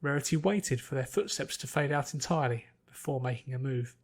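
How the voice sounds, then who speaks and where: A man's voice: mid-range voice, fairly quick. A man reading scripted fantasy fiction aloud as its narrator.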